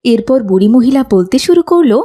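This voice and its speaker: A woman's voice: a little high, animated, moderate pace, warm tone. A woman reading scripted narration for a children's story as its narrator.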